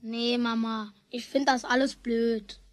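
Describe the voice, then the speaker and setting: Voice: medium pitch, flat delivery, slow pace, no room sound, natural voice. An actor playing a stubborn, jealous schoolboy in a children's radio play, grumbling indignantly to himself. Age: child figure.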